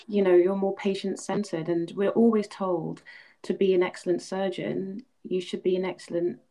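A woman's voice: mid-range voice, little pitch movement, moderate pace, warm tone, rough recording. A woman hosting a podcast interview, encouraging her guest and backing her up with plenty of small sounds of agreement. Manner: encouraging, lots of mm's. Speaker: podcast host. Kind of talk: casual interview chat.

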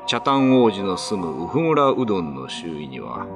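Deep, ominous male ghost-story narrator. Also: big pitch swings, normal speed. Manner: theatrical, ominous. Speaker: narrator of a classical ghost-story radio drama. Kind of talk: dramatic scripted storytelling. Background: music.

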